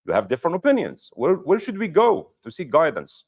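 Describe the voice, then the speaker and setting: A man's voice: fairly high pitch, huge pitch swings, a little fast. A man giving a mosque lecture as imam, explaining patiently with rhetorical questions and repeating key points for emphasis.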